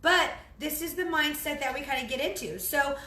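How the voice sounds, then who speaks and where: Hoarse, bright-voiced woman chatting. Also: high-pitched, normal speed, roomy sound. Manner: chatty, rambling, clears throat. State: hoarse, losing her voice. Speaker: fitness trainer. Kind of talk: casual cooking vlog to followers. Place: home kitchen.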